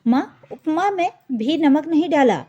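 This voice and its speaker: A woman's voice: high-pitched, expressive, unhurried, warm tone, dull audio. A woman storyteller voicing a resentful, complaining elder sister-in-law with a fault-finding manner.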